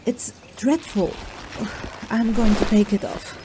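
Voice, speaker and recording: A woman's voice: a little high, takes it slowly, noisy background. Proud, feisty fantasy mother. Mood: complaining. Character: proud, feisty mother newly turned fighter. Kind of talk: audiobook drama acting.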